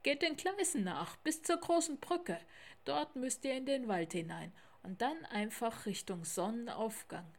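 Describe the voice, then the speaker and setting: Storybook snowy owl, huge helpful female, croaky, brisk, matter-of-fact; performed by a woman. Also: a little high, expressive. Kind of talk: children's storybook character voice.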